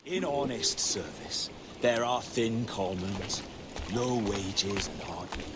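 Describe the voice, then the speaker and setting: A theatrical pirate captain whose voice rises and falls widely as he rallies his crew. He speaks like an orator, building toward forceful declarations. Boat and water sounds wash over the game audio. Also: lowish voice, expressive, slow, background noise. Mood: rousing. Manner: oratorical, theatrical, swelling to shouts. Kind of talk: video game cutscene acting. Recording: game audio with sea ambience.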